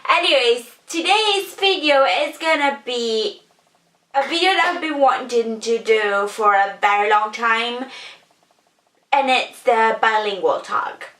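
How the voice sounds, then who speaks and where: A woman's voice: a little high, takes it slowly, fairly bright tone. A young woman from Sicily with an Italian accent, chatting casually to camera as a vlogger, full of fillers and self-corrections. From Sicily, Italy. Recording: echoey room, mic at a distance.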